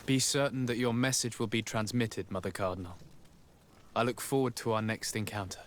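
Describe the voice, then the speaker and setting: A man's voice: on the low side, fairly even intonation, a little fast, a little hiss or hum, close to an everyday voice. An actor playing a composed young nobleman diplomat in a video game, speaking in a polite, formal, articulate way. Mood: courteous, composed.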